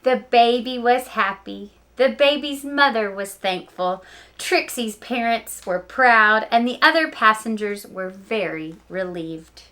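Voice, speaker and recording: A woman teacher reading a picture book aloud to children, with animated emphasis and chatty explaining asides. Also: on the high side, a little slow.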